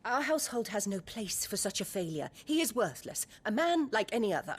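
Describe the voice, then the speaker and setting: A woman's voice: medium pitch, sing-song, brisk, slight background noise, natural voice. An actress with a British accent playing a cold, scornful middle-aged noblewoman in a video game, with an imperious, clipped delivery. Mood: angry, scornful. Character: cold, haughty, scornful noblewoman, archduke's wife. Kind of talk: video game cinematic acting.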